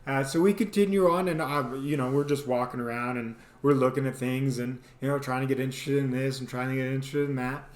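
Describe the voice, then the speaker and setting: Male storytelling host, casual and comedic, rambling but animated delivery, roomy echoey recording. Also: mid-range voice, fast, few pauses. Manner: animated, rambling, mimics people in exaggerated voices.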